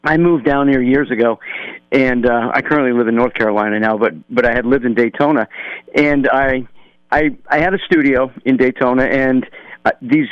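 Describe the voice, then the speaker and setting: A man's voice: mid-range voice, moderate pace, clean tone, no room sound. A man from New Hampshire, a drummer calling in to a radio interview, telling long stories loosely with many fillers. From New Hampshire, USA. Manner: rambling, relaxed storytelling with many fillers. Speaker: musician guest, drummer. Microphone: telephone. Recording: dull telephone line.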